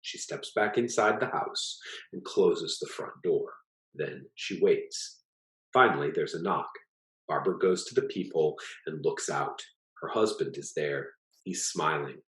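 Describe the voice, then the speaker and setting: A man reading a literary short story aloud as its author, in a measured, plain storytelling delivery. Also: a bass voice, animated, fairly bright tone. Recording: echoey, roomy sound.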